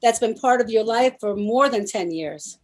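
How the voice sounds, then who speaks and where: A woman's voice: a little high, lively intonation, normal speed, a little mellow. A woman hosting an online talk, enthusiastically praising her guest. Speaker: host. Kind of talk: online talk show chat.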